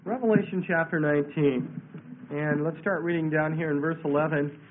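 A man's voice: fairly high pitch, steady tone, moderate pace, a little hiss or hum. A man preaching a verse-by-verse Bible sermon, congested from a head cold, emphatic and declamatory, repeating phrases for weight. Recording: thin phone-like radio sound.

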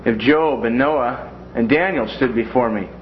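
A man's voice: medium pitch, moderate pace. A man teaching a Bible class in a classroom in a folksy way, with joking asides. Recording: dull lo-fi classroom recording with faint noise.

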